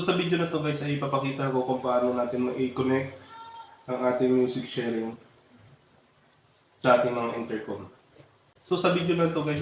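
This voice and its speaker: Man, Filipino accent, mid-range voice, casual how-to explaining, very reverberant room. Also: mid-range voice. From Philippines. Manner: addresses viewers often, explains step by step. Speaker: motorcycle vlogger giving a product tutorial. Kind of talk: casual step-by-step tutorial. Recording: very echoey room, faint background noise.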